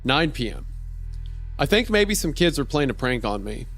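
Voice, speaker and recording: A man reading as a deadpan gas station clerk, telling a strange story in the first person in a dry way. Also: fairly high pitch, normal speed. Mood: dry, uneasy. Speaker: podcast host reading a horror story aloud. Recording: music behind the voice.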